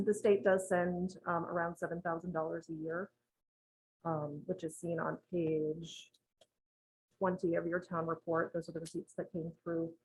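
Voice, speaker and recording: Woman advisor, husky and lowish, methodical explainer at a local board meeting. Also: steady tone, a little mellow, low-quality recording. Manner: measured, methodical, with frequent "um"s. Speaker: municipal finance advisor presenting to a town board. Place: town meeting room.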